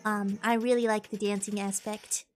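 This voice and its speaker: A woman's voice: medium pitch, flat delivery, normal speed. A young woman streaming and chatting casually with her viewers, bubbly and upbeat. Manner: bubbly, upbeat, with playful bits of singing. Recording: faint music behind.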